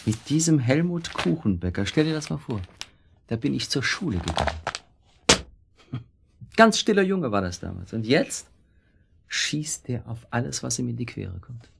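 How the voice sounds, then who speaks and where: A man acting a middle-aged, irritable and evasive husband in a German radio play, speaking in a sardonic, halting way. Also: medium pitch, big pitch swings, creaky, a little hiss or hum. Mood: uneasy, evasive.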